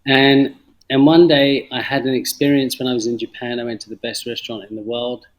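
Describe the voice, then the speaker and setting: A middle-aged man with a London English accent tells his own story reflectively in an interview, halting and repeating phrases for emphasis. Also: mid-range voice, level tone, rough recording. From London, England. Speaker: interview guest, former banker turned coffee farmer. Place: remote video call from Indonesia.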